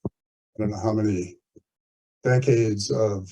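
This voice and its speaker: A man running a board meeting on a video call, giving a report in a measured, halting way. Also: lowish voice, steady tone, dark tone, rough recording. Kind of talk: formal-ish meeting report.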